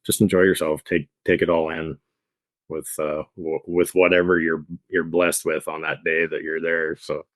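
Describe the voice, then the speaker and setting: A man who runs motorcycle tours, speaking as a podcast guest in a halting, rambling way with many restarts. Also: extremely low pitch, little pitch movement, fairly bright tone, no room sound. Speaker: motorcycle tour operator, guest. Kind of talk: casual interview.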